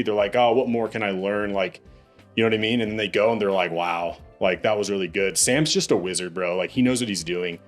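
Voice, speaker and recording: A young man hosting a podcast in casual interview chat, upbeat and calling his guest "dude" and "bro". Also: fairly low pitch. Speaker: podcast host.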